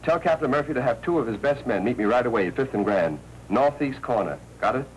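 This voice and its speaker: An actor playing a brisk, hard-nosed American newspaperman in a 1940s crime film, speaking in a clipped, commanding way. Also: medium pitch, expressive, lightly acted. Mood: urgent, firm. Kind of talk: 1940s film noir acting. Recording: noisy 1940s film soundtrack.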